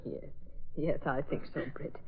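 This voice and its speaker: An actress playing a gracious, motherly society woman, gently concerned, in a 1940s radio drama. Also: a little slow, background noise, natural voice. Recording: dull old radio recording, crowd behind.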